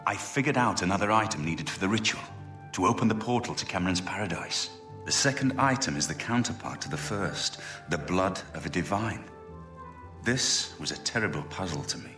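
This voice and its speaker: An actor playing an earnest, learned scholar in a fantasy video game, thoughtful and articulate, in an echoey space. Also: male voice, low-pitched, expressive, a little fast, music in the background. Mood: earnest, reflective. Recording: echoey room sound.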